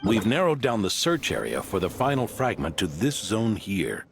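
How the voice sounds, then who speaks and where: Polite, formal game ally, lively pitch. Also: male voice, normal speed, gravelly, background noise, full character voice. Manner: formal and courteous. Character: formal, polite male leader of a lantern-bearing order. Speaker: allied commander. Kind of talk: video game dialogue.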